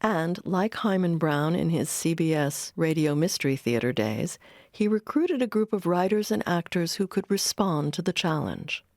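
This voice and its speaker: A woman's voice: lowish voice, lively intonation, moderate pace, darkish tone, dry recording, clean studio sound. A woman delivering scripted narration as a documentary narrator.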